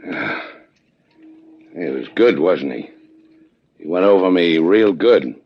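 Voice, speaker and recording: An actor playing a tough, stubborn boat skipper in a 1950s radio drama, terse and worn out with pain from a beating. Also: male voice, low-pitched, expressive, slow pace, gravelly, husky, heavily acted. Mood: pained, weary. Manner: terse, hard-boiled. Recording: dull vintage 1950s radio recording.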